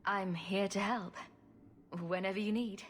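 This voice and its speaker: Female actor as flippant young heroine, fantasy game. Mid-pitched, dry, teasing, confident, faint ambient noise. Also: fairly even intonation, a little slow, lightly acted. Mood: playful. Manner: dry, sarcastic. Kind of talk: dramatic video game acting.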